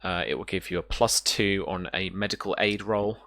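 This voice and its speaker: Deep-voiced man running a tabletop game. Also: fairly even intonation, normal speed, gravelly, fairly bright tone, no echo. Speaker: game master. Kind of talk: casual game master narration.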